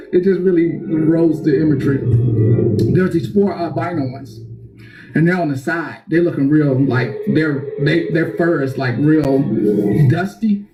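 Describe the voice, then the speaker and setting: A man's voice: fairly high pitch, unhurried, long pauses. A man giving laughing, joking commentary, making gorilla hoots and sounds as he riffs. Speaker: commentator riffing on a video. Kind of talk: comedic live commentary. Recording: roomy echo with music playing. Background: music playing.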